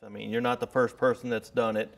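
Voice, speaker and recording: A middle-aged man farmer, interviewed in a hay barn, speaking in a relaxed, reassuring, plain way. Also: mid-range voice, a little fast, vocal fry.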